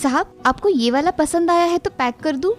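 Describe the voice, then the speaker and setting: A woman's voice: high-pitched, moderate pace, faint music behind, natural voice. A woman voicing a humble, obedient young working-class bride in a story, speaking politely and deferentially. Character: humble, obedient young flower seller turned daughter-in-law. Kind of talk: Hindi moral story dialogue.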